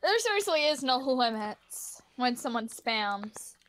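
Very high-pitched, excitable woman. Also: measured pace, low-quality recording. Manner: playful, excitable. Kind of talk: casual, silly group chat.

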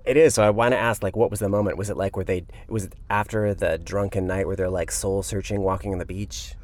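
A man with a deep voice hosts a music interview show. He speaks in a casual radio style, introducing and questioning his guest conversationally. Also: fairly even intonation, fast talker, a little mellow, a little hiss or hum. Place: inside a parked tour van.

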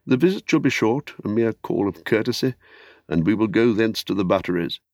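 A man's voice: lowish voice, animated, fairly quick, darkish tone, no echo, clean studio sound. A man voicing a friendly, hospitable city guardsman in a storybook, hearty and courteous, with formal archaic phrasing. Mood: warm, courteous. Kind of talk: audiobook character acting.

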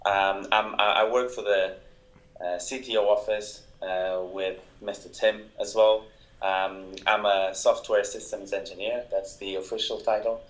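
Portuguese-accented man with a low, flat voice. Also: measured pace, roomy sound, low-quality recording. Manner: hesitant, lots of uh and um, self-deprecating. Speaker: software systems engineer, podcast guest. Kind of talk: casual chat on a call.

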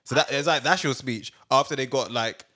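A man's voice: medium pitch, brisk, bright, forceful tone. A man co-hosting a podcast, chatty and slangy, given to exclamations. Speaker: podcast co-host. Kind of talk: casual podcast chat.

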